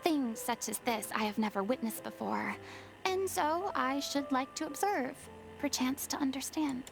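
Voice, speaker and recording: An actress playing a proud, theatrical young former stage star in a video game, speaking in eloquent, dramatic monologues. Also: female voice, sits high, lively intonation, normal speed, creaky, music in the background, low-quality recording. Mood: reflective.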